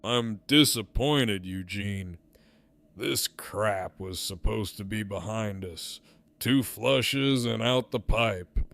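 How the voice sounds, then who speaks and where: A man acts out an intimidating gang boss for an audio drama. He takes his time over every profane phrase, sardonic and smug, with a gravelly creak under the voice. The sound is intimate and dry. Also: lowish voice, fairly bright tone, dry recording, exaggerated voice. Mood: cold displeasure. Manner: slow, drawling, deliberate, profane.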